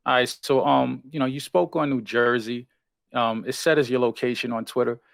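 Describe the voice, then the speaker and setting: A man hosting an interview, asking relaxed, prompting questions with stumbles and fillers. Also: mid-range voice, normal speed, vocal fry, no echo, studio quality. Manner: relaxed, prompting, with repeated "you know" and stumbles. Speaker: host.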